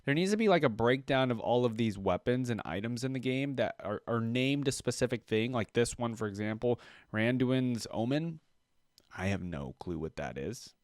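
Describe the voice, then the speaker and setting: Animated man reacting casually, dry sound. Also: medium pitch, normal speed, no echo. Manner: animated, expressive, informal. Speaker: reactor commenting on video game content.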